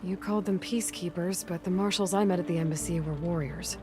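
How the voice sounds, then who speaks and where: A woman acting as a young outlander warrior with a fairly low voice. She is plain-spoken and probing, understated in her skepticism, in video game dialogue. Music is clearly audible behind her. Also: steady tone, fast, close to an everyday voice. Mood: skeptical. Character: young female outlander warrior, direct, questioning, quietly skeptical.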